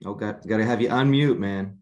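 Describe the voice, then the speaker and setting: Low-voiced male call host, easygoing and halting. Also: muffled recording. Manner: relaxed, halting, repeats words. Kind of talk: hosting a weekly video call. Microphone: video call.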